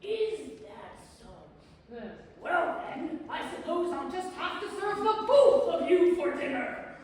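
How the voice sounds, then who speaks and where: An actor voicing a haughty dragon, extremely high, slow, menacing, puppet theatre, far off in an echoing hall. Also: animated, exaggerated voice. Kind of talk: live puppet-show stage acting. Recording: echoey hall, distant mic, background noise.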